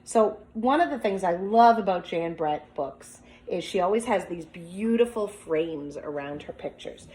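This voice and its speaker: A woman's voice: lowish voice, expressive, unhurried, few pauses, a little mellow, a little hiss or hum, rough recording. A woman speaking as a teacher, reading a picture book aloud with commentary and prompting young listeners with questions as if to a class. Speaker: teacher demonstrating a children's read-aloud.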